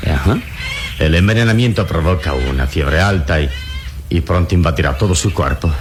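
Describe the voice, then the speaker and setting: An actor playing a calm, noble adventure hero in a radio drama, speaking in a deliberate, solemn, reassuring manner. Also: male voice, deep voice, noisy background. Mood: grave, urgent. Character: calm, noble adult male adventure hero, unflappable leader. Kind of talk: old-style Latin American radio adventure acting.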